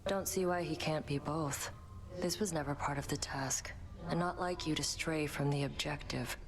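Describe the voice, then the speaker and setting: A woman playing a gruff, suspicious warrior goddess in a video game, terse and blunt. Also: low voice, steady tone, moderate pace, crowd noise, lightly acted. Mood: brooding, skeptical. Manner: terse, blunt, clipped phrasing. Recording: noisy ambient background.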